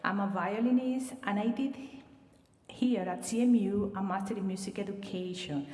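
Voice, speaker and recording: A woman's voice: mid-range voice, steady tone, normal speed. Venezuelan woman presenting in a reverberant hall. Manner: warm welcoming, slight non-native phrasing with fillers. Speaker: admissions director. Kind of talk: livestreamed presentation to students. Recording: roomy reverb, voice far from mic.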